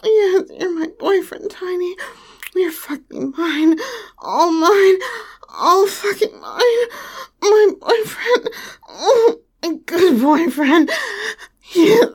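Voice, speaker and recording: A tall, teasing young lover, played by a woman murmurs in a soft, breathy whisper. Her voice sits high, and she takes her time over every word. It is close and personal, spoken straight to the listener. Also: slow pace. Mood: breathless heated. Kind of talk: intimate audio roleplay to the listener.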